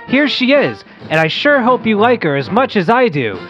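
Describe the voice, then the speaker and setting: A woman acting a wholesome, keen young lad hosting a radio show, with very lively swings of pitch. The delivery is old-time radio drama, slightly dull in sound, with faint music underneath. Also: moderate pace. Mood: eager, cheerful. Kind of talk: old-fashioned radio play reading.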